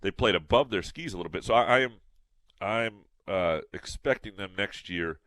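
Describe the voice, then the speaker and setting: A man's voice: deep voice, expressive, normal speed, fairly bright tone, no echo. A man co-hosting a sports podcast in casual chat, a little nasal, rambling with restarts and fillers. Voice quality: slightly nasal.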